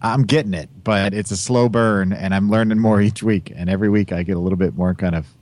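Low-voiced man, dry close sound. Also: fairly even intonation, fast talker, darkish tone, no room sound. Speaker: podcast host. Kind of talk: casual chat.

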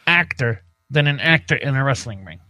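Animated man bantering crudely on a podcast. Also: medium pitch, moderate pace, dry recording. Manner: crude, profane, argumentative. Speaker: podcast co-host and producer. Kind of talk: casual banter about wrestling and movies.

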